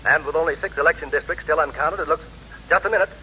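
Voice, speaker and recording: Brief: man playing high-pitched urgent bulletin announcer, rapid, muffled old radio drama. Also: over loud music, lightly acted. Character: urgent radio election-night news announcer. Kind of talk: 1930s radio news bulletin.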